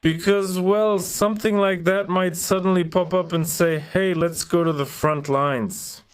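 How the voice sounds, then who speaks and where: A man's voice: sits high, steady tone, a little slow, smooth, no echo. A man whose native languages are Ukrainian and Russian, hosting a sarcastic commentary video with mocking asides. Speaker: commentary channel host.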